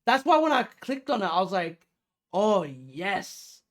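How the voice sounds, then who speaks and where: An excitable reaction host talking casually and exclaiming over a song, with mild swearing and a creaky voice. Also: medium pitch, measured pace. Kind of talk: casual music reaction commentary. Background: pop music playing.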